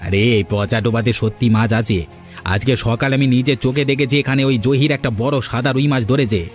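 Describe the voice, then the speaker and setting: A man's voice: mid-range voice, steady tone, brisk, faint music behind, lightly acted. Man acting a bossy, scheming village man. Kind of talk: Bengali cartoon voice acting.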